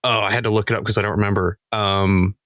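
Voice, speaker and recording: A man's voice: lowish voice, level tone, quick pace, fairly bright tone, dry recording, clean studio sound. A man talking casually as a cast member in a podcast panel, telling stories with acted-out asides. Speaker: podcast cast member discussing their show.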